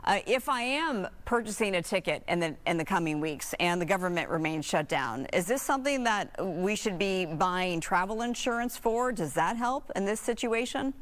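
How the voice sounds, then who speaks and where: A woman's voice: medium pitch, expressive, moderate pace, hardly any pauses, a bit bright. An American woman anchoring a live TV news interview, asking questions in a conversational way.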